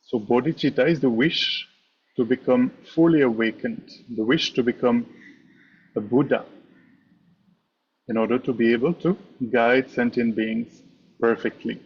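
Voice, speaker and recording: A man leading a guided meditation as a teacher, speaking in measured, instructive short phrases with pauses. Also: medium pitch, fairly even intonation, highs cut off, a little hiss or hum. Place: online meditation session over video call.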